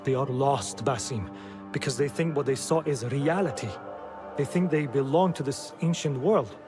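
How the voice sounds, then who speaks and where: An actor playing a shady, self-justifying informant in a video game, smooth-talking and evasive. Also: male voice, mid-range voice, normal speed, music in the background, lightly acted. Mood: evasive, earnest. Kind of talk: dramatic game cutscene acting.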